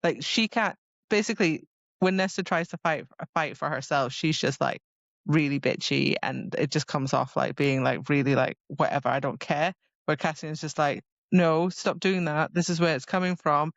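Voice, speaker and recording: A woman podcast co-host with a low voice talks about books in a casual, loose, informal way. Her recording is dry and a little dull and muffled. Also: steady tone, moderate pace, creaky, dry recording. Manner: informal, loose phrasing peppered with "like". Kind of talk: casual book discussion chat.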